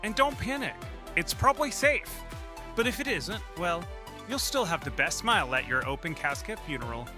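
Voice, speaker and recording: An actor playing a peppy, slick radio pitchman who reads a parody sponsor ad in a salesy, tongue-in-cheek way. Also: expressive, normal speed, music in the background. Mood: upbeat, slick. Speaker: commercial announcer.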